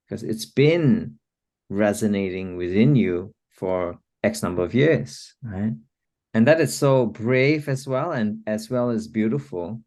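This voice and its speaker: Slow, measured low-voiced male host. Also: darkish tone. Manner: measured, encouraging, pauses mid-sentence. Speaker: interview host, coach. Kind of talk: conversational interview hosting.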